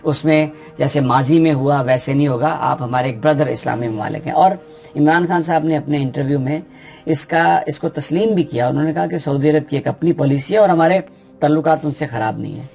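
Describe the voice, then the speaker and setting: Pakistani man giving steady political commentary. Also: a little high, a little fast, gravelly, soft background music. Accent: Pakistani Urdu. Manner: steady explanatory delivery with frequent hesitations. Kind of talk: unscripted news commentary.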